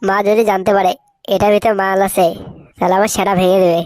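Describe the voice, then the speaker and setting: A man voicing a brash, foul-mouthed young cartoon layabout, swaggering and slangy, with a pitch-altered voice. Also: level tone, fast, a little mellow, dry recording. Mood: impatient, bickering. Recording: faintly noisy cartoon dub, birdsong behind.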